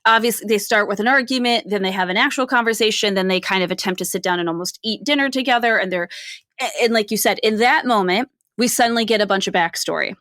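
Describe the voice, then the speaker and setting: A woman's voice: mid-range voice, fast talker, a bit bright, dry recording. Lady podcaster explaining her take on a movie, mid-range voice, really dry sound, says like and right a lot. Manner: explanatory, often adds "right?" and "like". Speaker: podcast co-host. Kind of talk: casual film discussion.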